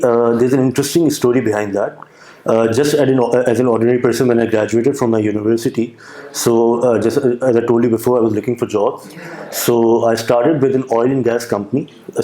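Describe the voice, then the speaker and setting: A young man with a Pakistani accent, answering interview questions with a hesitant manner, full of pauses and restarts. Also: medium pitch, fairly even intonation, mellow, reverberant. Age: young adult. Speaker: guest entrepreneur.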